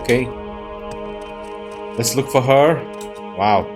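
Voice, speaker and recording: A man's voice: medium pitch, slow pace. Man musing aloud over game music. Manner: musing aloud, exclaiming at sights. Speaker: let's player and show host. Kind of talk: casual game commentary.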